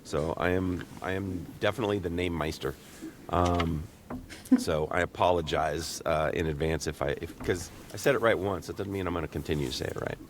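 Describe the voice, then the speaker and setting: A man with a rough, hoarse voice, sick, co-hosting a casual interview with joking, friendly banter. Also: deep voice, brisk, noisy background. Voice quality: rough, raspy. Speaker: podcast co-host interviewing guests. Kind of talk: casual chat.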